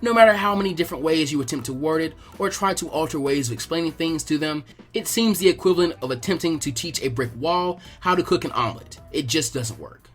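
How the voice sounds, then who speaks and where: A man narrates a fan-written story in a somewhat high voice. He reads from a script, and music plays behind him. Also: quick pace, few pauses, a bit bright.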